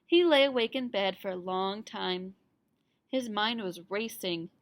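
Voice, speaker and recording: A woman teacher reading a picture book aloud to young children in an expressive storytelling style. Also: medium pitch, measured pace, no room sound. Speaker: teacher reading to kindergarten pupils.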